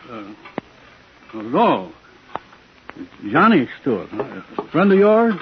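An actor playing an evasive, outwardly genial shop owner in a 1950s radio thriller, guarded and speaking in short phrases. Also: male voice, mid-range voice, big pitch swings, takes it slowly. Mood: genial, wary. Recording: dull, faintly noisy 1950s radio broadcast.

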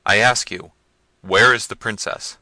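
A man's voice: fairly low pitch, fairly even intonation, measured pace, bright, forceful tone, no echo. A man voicing a hot-tempered, commanding prince in a gothic novel reading, barking impatient questions and orders.

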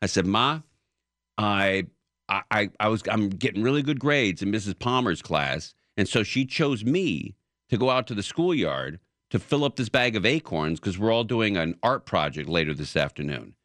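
Chatty man with bright, lively voice. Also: lowish voice, normal speed, no room sound, clean studio sound. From Southern California. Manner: rambling storyteller with many fillers and restarts. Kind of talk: casual conversation.

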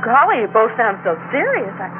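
A woman's voice: a little high, sing-song, a little fast, background noise. An actress playing an eager, polite schoolgirl daughter in a 1960s radio drama. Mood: pleading, curious. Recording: tinny 1960s radio recording, music behind.

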